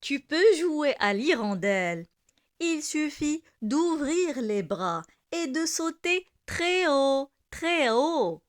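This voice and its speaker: A woman with a high voice recites a poem for young learners. Her delivery is extremely slow and deliberate, leaving space between each short phrase. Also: very animated. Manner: deliberate, with repeated lines and pauses.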